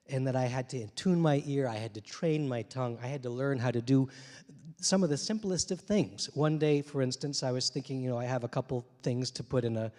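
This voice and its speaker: A man in his forties, a little hoarse, telling a personal story on a theater stage into a handheld mic, reflective and gently humorous with many small pauses and fillers. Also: medium pitch. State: slightly hoarse from many interviews. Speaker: translator and poet. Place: theater stage, dark room. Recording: long reverb of a theater hall.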